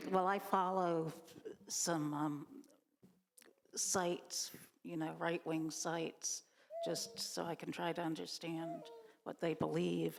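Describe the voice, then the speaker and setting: A woman making an informal discussion comment, halting and pausing mid-sentence. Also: fairly low pitch, creaky, in a large hall. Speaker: church class participant.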